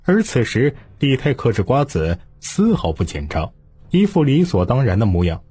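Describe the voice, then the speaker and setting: A man's voice: mid-range voice, huge pitch swings, moderate pace, mellow, breathy edge, dry recording. A man narrating historical fiction in a dramatic scripted audiobook style. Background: music.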